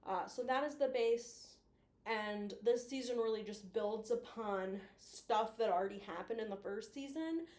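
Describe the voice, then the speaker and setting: A woman's voice: a little high, measured pace, a little mellow, reverberant. A woman chatting casually as a video host giving commentary, playful and teasing, with the odd mild swear. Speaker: YouTube host reviewing analog horror.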